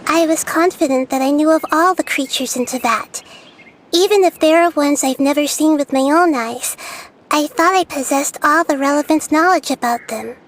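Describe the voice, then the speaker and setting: A woman voicing a young goddess girl who is gentle, curious and wise, explaining things in a soft, thoughtful way. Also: high-pitched, normal speed, lightly acted.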